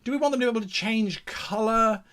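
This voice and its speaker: A man's voice: high voice, fast, a bit bright. A man hosting an advice show for game masters, thinking aloud in a theatrical way and posing questions to himself as he explains. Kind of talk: explanatory monologue on monster creation.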